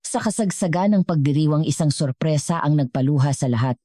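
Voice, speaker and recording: A woman with a Filipino accent narrating a scripted story in an earnest, measured way. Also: deep voice, warm tone, dry recording, clean studio sound.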